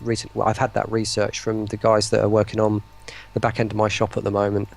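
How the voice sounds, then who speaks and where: A man speaks as a guest in a casual interview. His voice has a gravelly creak, and he talks haltingly, often doubling back on words as he explains things. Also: fairly low pitch, monotone. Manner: hesitant, repeats words mid-sentence. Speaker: interview guest, voice pack producer.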